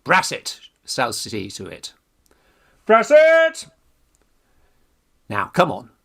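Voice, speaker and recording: Man as lovestruck young English student schemer for radio farce: bright, forceful, exclamatory, bossy, swooping in pitch. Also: wildly swooping pitch, extremely slow, vocal fry, heavily acted. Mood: excited, scheming. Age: young adult. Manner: exclamatory, bossy, jolly old-fashioned slang. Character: brash, scheming young Victorian Oxford undergraduate in love. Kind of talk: theatrical radio farce acting.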